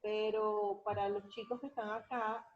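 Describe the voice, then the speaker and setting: A woman's voice: mid-range voice, little pitch movement, normal speed, a little hiss or hum. A woman moderating a casual webinar, hesitant and repeating words as she goes. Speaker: moderator reading audience questions. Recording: dull lo-fi online call audio.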